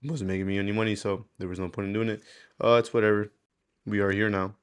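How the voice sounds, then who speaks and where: A man's voice: lowish voice, little pitch movement, fast talker, clean tone. A man, speaking candidly in a rambling, slangy, sweary way, as in a casual confessional video diary. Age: 20s. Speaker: vlogger.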